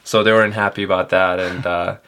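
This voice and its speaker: Low, gravelly young man, halting speech. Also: little pitch movement, fairly bright tone. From Ethiopia. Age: 20s. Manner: halting, hesitant delivery with frequent filler words. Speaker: elite runner, interview guest. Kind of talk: casual chat.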